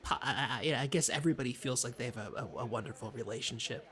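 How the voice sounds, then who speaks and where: A man's voice: medium pitch, quick pace, sharp tone, lightly acted. A man playing an earnest, folksy community radio co-host who rambles and stammers through comedy banter. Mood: rambling. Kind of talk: improvised-sounding comedy radio show banter.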